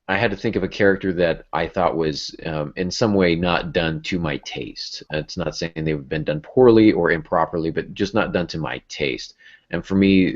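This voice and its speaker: A man co-hosting a podcast in a casual discussion, pausing to think as he talks. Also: low voice, muffled recording. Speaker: podcast co-host.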